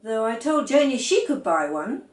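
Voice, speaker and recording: A woman's voice: a little high, very animated, normal speed, echoey room, voice pushed up. A woman reading the part of a mild, easygoing father, hesitant and placating, in a children's storybook. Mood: defensive. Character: fond father, mild, teasing and easygoing man.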